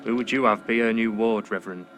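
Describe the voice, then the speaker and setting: A man's voice: fairly low pitch, fairly even intonation, fast talker, fairly bright tone, soft background music, natural voice. An actor playing a stern, impatient witch-trial judge in a period video game drama, curt, formal and commanding. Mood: stern, measured.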